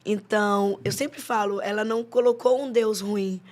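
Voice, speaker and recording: A woman, a pastor and psychologist, telling her story as a podcast guest with expressive, faith-filled phrasing. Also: medium pitch, normal speed. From Amazonas, Brazil. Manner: expressive storyteller, warm religious phrasing, laughs often. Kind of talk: casual interview chat.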